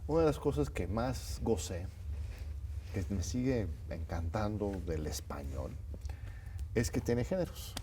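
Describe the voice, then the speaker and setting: A man's voice: fairly low pitch, expressive, unhurried, mellow, slight background noise. A middle-aged man, a native English speaker from the United States, hosting a televised interview in a studio and talking in a reflective, hesitant way. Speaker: host. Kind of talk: conversational interview.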